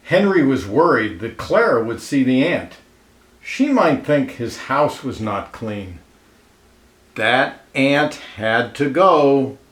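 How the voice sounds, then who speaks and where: A man reading a children's picture book aloud expressively, pausing to comment and ask listeners questions, in an echoey room. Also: medium pitch, expressive, fairly bright tone. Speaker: storybook reader. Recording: roomy, echoey indoor sound.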